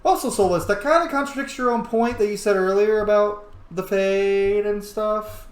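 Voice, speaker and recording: A man's voice: high voice, normal speed, roomy sound. A man giving casual, chatty gameplay commentary, exclaiming and swearing as he plays. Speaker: let's player narrating gameplay.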